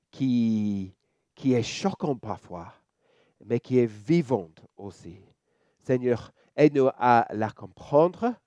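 Slow, mellow Australian man preaching. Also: medium pitch, no echo, studio quality. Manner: relaxed, joking, halting with many fillers and restarts. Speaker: church elder preaching. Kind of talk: sermon.

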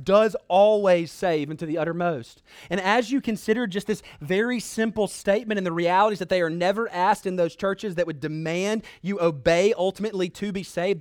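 Guy preaching a sermon, kinda high voice, dry sound, really earnest, leans on key words w big pauses. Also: no room sound. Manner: emphatic, with dramatic pauses and repetition; earnest, exhortatory.

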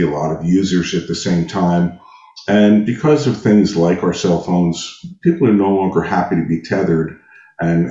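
Deep-voiced man explaining in a roomy space. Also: dark tone. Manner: explanatory, methodical, uses everyday analogies. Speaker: interview guest, intercom technology expert. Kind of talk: conversational technical explanation. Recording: dull, roomy sound.

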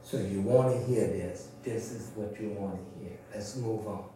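A man explaining a story informally to a congregation, in a conversational and unhurried manner. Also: fairly low pitch, dark tone. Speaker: church presenter introducing and recapping an audio drama. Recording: very echoey room, far from mic.

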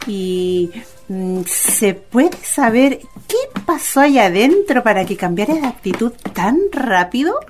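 Radio drama: playful, homely Chilean mom, animated but unhurried, light music behind. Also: female voice, on the high side. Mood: cheerful, teasing. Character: cheerful, scatterbrained homely mother.

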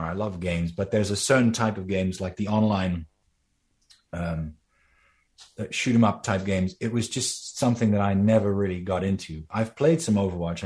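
A man's voice: low voice, darkish tone. A man from the Netherlands with a slight Dutch accent, a voice actor answering interview questions in a rambling way, with many fillers and restarts.

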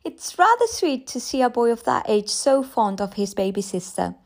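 Woman doing a bright, chatty young teacher. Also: fairly high pitch, big pitch swings, moderate pace, voice pushed up.

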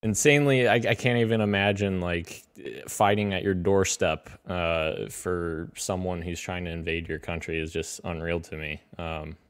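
A man's voice: deep voice, fairly even intonation, normal speed, hardly any pauses, smooth. A man co-hosting a podcast, talking casually in a rambling way with many fillers. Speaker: podcast co-host.

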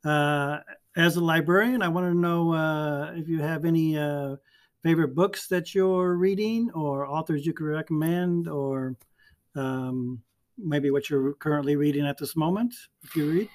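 A man who is a librarian hosting a school podcast, friendly and asking questions with many pauses and fillers. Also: fairly high pitch.

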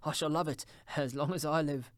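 A man's voice: a little high, brisk, pushed and bright, no room sound, studio quality, voice pushed up. A man voicing an overjoyed, reformed old miser in a storybook, exclamatory, gushing and courteous. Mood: gleeful, exuberant. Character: overjoyed reformed old miser, an elderly gentleman bubbling with goodwill. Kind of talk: dramatised storybook reading.

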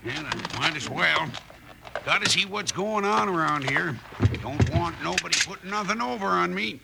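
An actor playing a cranky, griping frontier storekeeper with a folksy American drawl in an old radio western. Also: male voice, sits high, expressive, normal speed, creaky, full character voice. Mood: grumbling. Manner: folksy, grumbling, rustic drawl. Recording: very noisy vintage radio recording.

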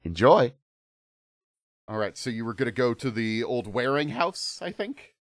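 A hoarse man narrating a tabletop horror game as game master, in a relaxed, conversational way. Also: mid-range voice, a little slow, no room sound, clean studio sound. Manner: relaxed, conversational, filler-heavy.

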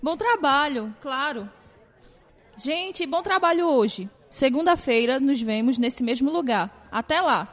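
Woman acting strict female nursing instructor, very high-pitched voice, supervising interns, audio drama. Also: animated, measured pace. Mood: approving.

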